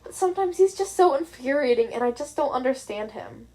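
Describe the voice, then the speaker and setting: A woman's voice: sits high, expressive, a little fast, a little mellow, low-quality recording. A woman voicing a young man in a story, innocent and mild but wry and easily frustrated. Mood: restrained frustration.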